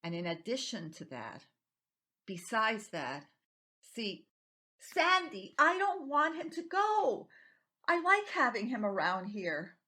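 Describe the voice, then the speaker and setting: A woman's voice: on the high side, huge pitch swings, takes it slowly, pushed and bright, exaggerated voice. A woman performing a stage monologue as a feisty, nonconformist out-of-work writer, talking in an emphatic, impassioned way. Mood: pleading. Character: unemployed nonconformist writer pleading to keep beloved nephew, feisty. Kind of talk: dramatic stage monologue reading.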